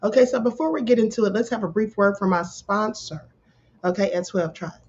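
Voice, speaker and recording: A woman hosts a casual live stream, her voice a little hoarse. She reels off viewers' names in a relaxed, chatty way. The audio is dull and slightly lo-fi. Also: mid-range voice, fairly quick, faint music behind. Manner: greets chat viewers by name. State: hoarse, sore throat. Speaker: livestream host. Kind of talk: casual livestream chat.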